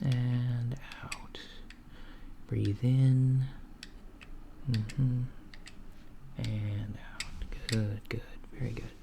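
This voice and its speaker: A man voicing a calm alien doctor in a soft-spoken, half-whispered ASMR roleplay, gently asking a human patient clinical questions. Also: mid-range voice, creaky, noisy background. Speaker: ASMR creator.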